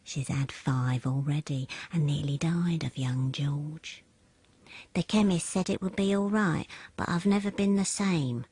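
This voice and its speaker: A man's voice: fairly high pitch, a little slow, darkish tone, voice pushed up. A man voicing a gossiping working-class London pub woman, blunt and nagging, in a dramatic poetry reading. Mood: complaining. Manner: chatty, nagging storytelling.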